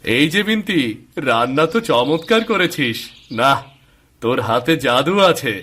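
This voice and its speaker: Earnest village husband, medium pitch. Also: male voice, sing-song, unhurried, fairly bright tone. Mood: gentle. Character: devoted, gentle village husband and son. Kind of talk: animated cartoon voice acting.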